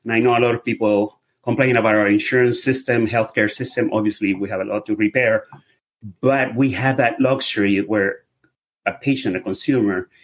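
A man's voice: fairly low pitch, fairly even intonation, brisk. A middle-aged man from Venezuela with a Venezuelan accent, explaining things in a casual interview, with loose run-on sentences and restarts. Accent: Venezuelan Spanish. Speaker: guest, lab testing business owner.

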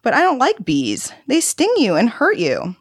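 A woman's voice: a little high, wildly swooping pitch, normal speed, husky, no room sound, clean studio sound, voice pushed up, full character voice. A woman voicing a sulky, frightened young boy with a temper, blurting in a childlike, petulant way for a narrated story.

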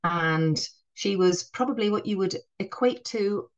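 A woman's voice: mid-range voice, measured pace, dull audio. A middle-aged head teacher from the Northeast of England with a Northeastern accent, speaking reflectively in a podcast interview, often restarting her sentences. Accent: Northeast English. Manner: warm, reflective, frequent restarts.

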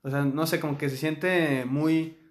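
A man's voice: fairly high pitch, steady tone, a little slow, echoey room. A Mexican man co-hosting a film podcast, talking casually and rambling with lots of fillers. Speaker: podcast co-host. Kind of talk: casual chat.

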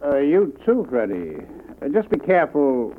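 An actor playing a menacing gangster who fixes fights in a 1950s radio drama, talking calmly with soft threats. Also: male voice, fairly high pitch, normal speed. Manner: calm, soft-spoken threats. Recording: tinny old radio broadcast with faint hiss.

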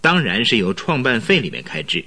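A company director in a radio play, loud and energetic. His husky voice is bright and forceful, swinging widely in pitch as he persuades and patronizes with scheming heartiness. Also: brisk, exaggerated voice. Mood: jovial, persuasive. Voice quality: husky, robust. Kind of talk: Mandarin radio drama acting.

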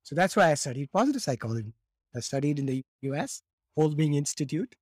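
Animated man in his fifties, dry sound. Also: fairly high pitch, fast, husky, dry recording. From born in Morocco, raised in India. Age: 50s. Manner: animated, acts out quotes, repeats words when starting. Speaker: guest, laughter yoga teacher. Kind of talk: podcast interview storytelling.

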